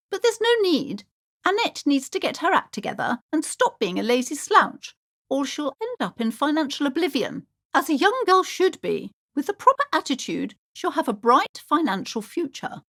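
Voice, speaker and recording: An actress voicing a haughty, superstitious, high-strung middle-aged shopkeeper mother in a video game, with a theatrical, imperious, judgmental manner. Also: fairly bright tone, exaggerated voice. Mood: stern, lecturing. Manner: theatrical, imperious, swooping between sweet and scolding.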